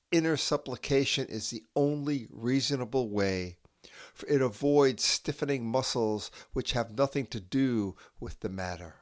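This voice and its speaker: A man reading a philosophical essay aloud in a measured, deliberate way, with long pauses between phrases. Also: lowish voice. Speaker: reader and host. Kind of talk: slow solemn reading of an essay.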